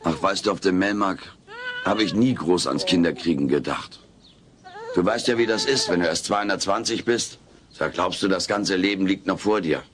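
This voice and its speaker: An actor playing a cheeky, childlike furry alien with drawling wisecracks in a German sitcom audio drama. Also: male voice, low-pitched, normal speed, fairly bright tone. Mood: wry, friendly. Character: wisecracking furry male alien, over 200, childlike and tender.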